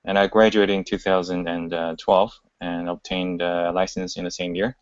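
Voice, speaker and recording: A man in his thirties from China, a pharmacist introducing himself on a video call, halting with frequent fillers. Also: sits low, flat delivery, no room sound. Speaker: panelist, pharmacist. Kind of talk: casual self-introduction. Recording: dry, dull lo-fi call audio.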